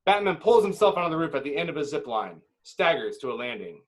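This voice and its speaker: A man's voice: fairly high pitch, very animated, fast talker. A man reading screenplay stage directions as the dramatic narrator of a table read.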